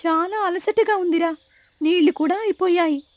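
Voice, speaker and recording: A woman voicing a young village woman in an animated story, a loyal helper speaking in a plaintive, expressive way. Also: very high-pitched, normal speed, dry recording, voice pushed up. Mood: tired, worried. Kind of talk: animated storybook character acting.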